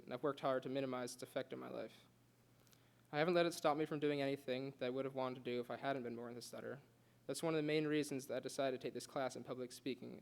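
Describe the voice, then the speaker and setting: A young man giving a prepared class speech, earnest, with occasional stutters and restarts. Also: mid-range voice. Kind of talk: prepared speech read aloud.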